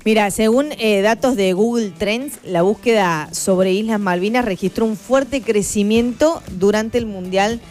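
A woman with an Argentine accent co-hosting a radio show, sharing figures from the news in a measured way. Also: medium pitch, darkish tone, faint music behind. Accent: Argentine Spanish. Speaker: radio co-host. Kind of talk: casual radio chat, reading news data.

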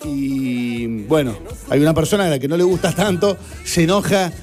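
A man hosting a casual studio chat show, chatty and animated, reading out viewer messages. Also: fairly high pitch, normal speed, music in the background. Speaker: show host.

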